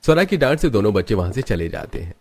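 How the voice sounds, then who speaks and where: A man's voice: lowish voice, big pitch swings, fast talker, darkish tone. A man narrating a scripted family cartoon story as its storyteller. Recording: music bed under the voice.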